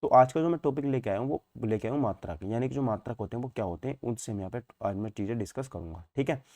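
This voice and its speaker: A man's voice: medium pitch, lively intonation, quick pace, dark tone, no echo. A man giving an informal online lecture as a teacher, repeating points and asking questions that he answers himself. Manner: repetitive, asks and answers own questions, frequent check-in tags. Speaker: physics teacher. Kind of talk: informal online exam-prep lecture in Hindi mixed with English terms.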